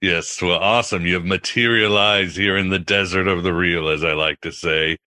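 A man with a deep but bright, forward voice hosts a podcast. He talks casually as he interviews, and the sound is very dry. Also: fairly even intonation, normal speed, no echo. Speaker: podcast host.

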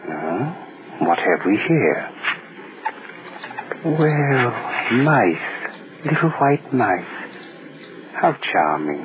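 An actor playing a pompous, menacing government official in a 1930s radio drama, curt and commanding. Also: male voice, medium pitch, very animated, extremely slow, pauses often, noisy background. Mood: coolly menacing. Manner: imperious, curt, barking orders. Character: pompous, menacing male state propaganda official. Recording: muffled 1930s radio recording.

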